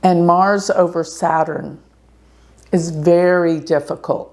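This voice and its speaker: A woman astrologer giving an earnest, slow warning talk to her viewers, clearing her throat now and then. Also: lowish voice, a little mellow. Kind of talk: casual talk to camera.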